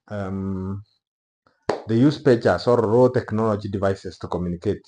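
A middle-aged man with a Kenyan accent giving unscripted news commentary to camera, speaking haltingly in short phrases. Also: mid-range voice, a little mellow. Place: small office room, indoors.

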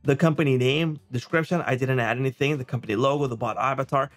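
A man's voice: medium pitch, fairly even intonation, fast talker. Man giving a casual tutorial over music. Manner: conversational, often checks in with okay and right. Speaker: software tutorial host. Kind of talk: casual screen-share walkthrough.

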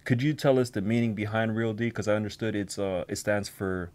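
Low-voiced man hosting an interview. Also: a little fast, darkish tone. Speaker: interviewer, host. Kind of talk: casual interview. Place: recording studio control room, indoors.

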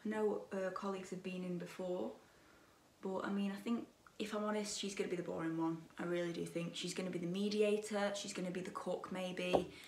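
A young woman in her twenties chatting while she does her makeup at a dressing table, gossipy and opinionated, her voice a bit croaky. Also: fairly low pitch, level tone, brisk, darkish tone, echoey room, distant mic, a little hiss or hum. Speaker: beauty vlogger. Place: bedroom dressing table with lit mirror, indoors.

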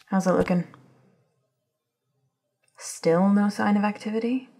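A woman's voice: medium pitch, fairly even intonation, measured pace, dark tone, slightly rough. A woman acting an intense, insistent female character in an ASMR-style supernatural audio drama. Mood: restless, impatient.

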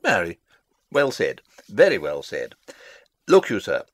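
A man's voice: low voice, wildly swooping pitch, unhurried, no echo, heavily acted. An actor playing a fussy, long-winded old father and royal counsellor in a theatrical Shakespeare reading, pompous and rambling. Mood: fussily instructing. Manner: rambling, pompous, theatrical, losing his thread. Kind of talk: dramatic reading of a Shakespeare play.

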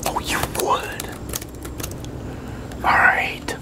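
A man in his sixties in a whispered ASMR roleplay as an easygoing wrestling promoter, talking haltingly as if in a one-sided conversation. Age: 60s. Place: open-sided concrete pavilion outdoors, at a metal picnic table.

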